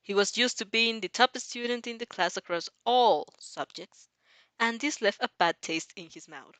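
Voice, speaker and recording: A woman's voice: a little high, moderate pace, a bit bright, no echo. Woman reading fanfic, informal and giggly. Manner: giggly, stumbling over lines. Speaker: fanfic reader. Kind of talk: informal story narration with asides.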